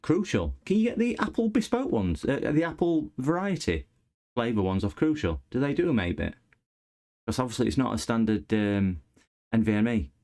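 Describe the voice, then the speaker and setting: A man's voice: mid-range voice, big pitch swings, normal speed, darkish tone. A man, a repair channel host, chatting casually with viewers while he works on a laptop. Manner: chatty, chuckling, replies to viewers while working. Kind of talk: casual live-stream chat while repairing.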